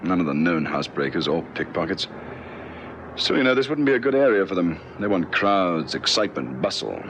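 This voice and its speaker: Deep-voiced police detective. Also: male voice, very animated, brisk. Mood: watchful, calm. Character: gruff commanding police detective sergeant on night patrol. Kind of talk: vintage radio drama acting. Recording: old radio recording, vehicle noise.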